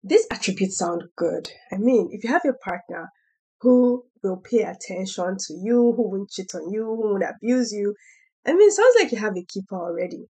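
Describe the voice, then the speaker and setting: A young woman hosting a faith podcast, talking in a chatty way with a hoarse voice and laughing between points. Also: mid-range voice, expressive, moderate pace, dark tone. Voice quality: husky. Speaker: podcast host. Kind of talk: faith-based casual podcast talk.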